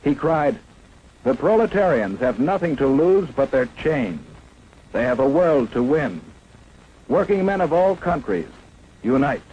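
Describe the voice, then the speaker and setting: A man's voice: medium pitch, big pitch swings, a little slow, a little mellow, slightly rough, muffled recording. A man narrating a 1950s propaganda film in an emphatic, declamatory way. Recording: faint hiss of an old 1950s film soundtrack.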